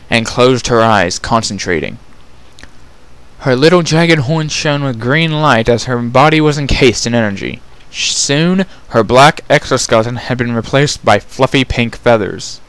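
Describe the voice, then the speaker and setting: A man's voice: medium pitch, unhurried, a bit bright, smooth. A man narrating a fantasy story as a scripted dramatic reading.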